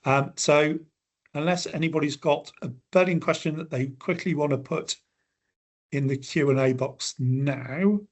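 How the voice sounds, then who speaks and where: Upbeat man hosting a webinar. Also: on the high side. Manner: friendly, brisk, thanking people. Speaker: webinar host. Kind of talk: hosting and wrapping up an online seminar. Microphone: video call.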